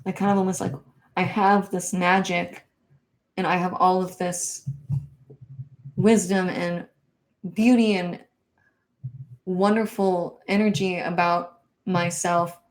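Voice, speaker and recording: Soft-spoken woman chatting on a livestream. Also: on the low side, level tone, takes it slowly, pauses often, low-quality recording. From Kansas. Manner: soft-spoken, hesitant, many ums and likes. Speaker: livestream host. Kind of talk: casual livestream chat, reading viewer comments.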